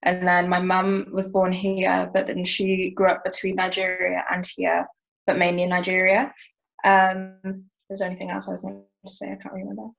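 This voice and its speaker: A woman's voice: on the low side, completely flat delivery, normal speed, gravelly, poor audio. A woman, introducing herself casually and ramblingly as a panel guest. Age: 20s. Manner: informal, rambling.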